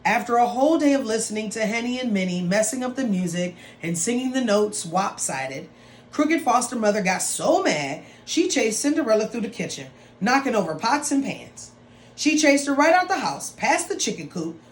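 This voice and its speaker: A woman teacher reading a story aloud to students in an expressive, dramatic way, with drawn-out phrases and playful asides. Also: on the high side. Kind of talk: expressive read-aloud of a picture book for students. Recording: roomy echo with some background noise.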